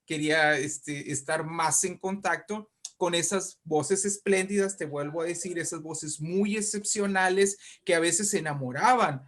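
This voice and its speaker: A Mexican man with a fairly high voice talks as a guest in an interview. He explains things at length and with emphasis, in a conversational way, often tagging phrases with little checks to the listener. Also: a little slow, hardly any pauses, fairly bright tone. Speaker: invited guest, writer and poet.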